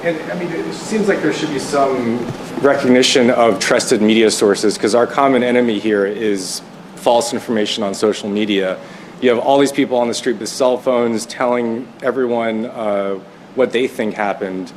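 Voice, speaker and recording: A mid-pitched man puts pointed, skeptical questions at a press conference, with faint noise behind him. He challenges like a working newsman seeking answers. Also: moderate pace, low-quality recording. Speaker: freelance news photographer asking questions.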